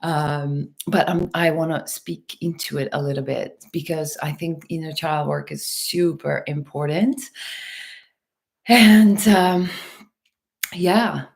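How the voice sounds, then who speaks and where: A woman from Turkey and Los Angeles hosting a casual livestream, talking to viewers in a gentle, unhurried, spiritual wellness manner. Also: sits low, vocal fry. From Turkey and Los Angeles, USA. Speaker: host, hypnotherapist and mentor.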